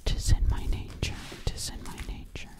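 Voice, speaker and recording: A woman voicing a sinister, obsessive witch in a close, breathy ASMR roleplay, repeating words hypnotically. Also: brisk, lightly acted. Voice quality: breathy whisper. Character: sinister, obsessive female witch hunting for victims. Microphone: close microphone.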